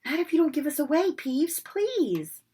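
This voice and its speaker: A woman voicing a young schoolboy hero who speaks urgently and in a hushed way. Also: sits high, big pitch swings, normal speed, voice pushed up. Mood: pleading.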